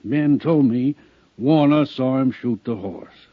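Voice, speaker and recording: A man's voice: medium pitch, slow pace, no room sound. A man playing a reluctant, subdued country doctor in an old radio drama. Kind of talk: 1950s radio drama acting. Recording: dull old radio recording.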